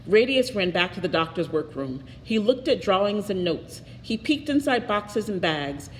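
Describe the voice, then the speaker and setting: A woman's voice: mid-range voice, sing-song, moderate pace. A woman reading a picture book aloud expressively, with little asides to her listeners. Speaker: storybook reader. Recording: echoey reverberant room, faint noise.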